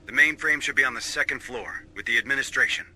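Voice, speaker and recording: An actor playing a gruff, stubborn commander of space marines in a video game, giving clipped, commanding briefings with dry sarcasm. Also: male voice, medium pitch, quick pace, sharp tone, soft background music, poor audio. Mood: commanding, focused. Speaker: military commander. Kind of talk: video game cutscene acting and mission briefing.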